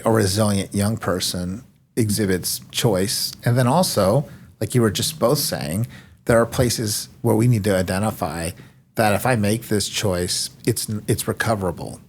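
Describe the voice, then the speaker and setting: A man's voice: on the low side, normal speed, a little hiss or hum. Affable man hosting a podcast. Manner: affirming, summarizing, lightly joking. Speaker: podcast host. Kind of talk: casual podcast conversation. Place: studio room.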